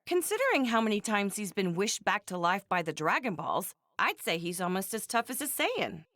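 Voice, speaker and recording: A woman's voice: medium pitch, sing-song, moderate pace, a bit bright, no echo, studio quality. A woman voicing a doting, strict and blunt mother in an English anime game, with a motherly, pointed manner. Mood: curious.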